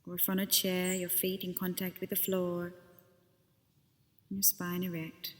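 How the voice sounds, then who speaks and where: A woman leading a guided body scan meditation as a teacher, speaking gently and unhurriedly with pauses between phrases. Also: on the low side, completely flat delivery, long reverb tail.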